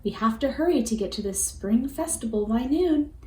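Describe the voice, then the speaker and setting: A woman voicing an eager, lively little girl from a children's storybook, calling out to her puppy. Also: fairly high pitch, normal speed, close to an everyday voice. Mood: eager, urgent. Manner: urgent, excited calling. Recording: roomy echo with faint background noise.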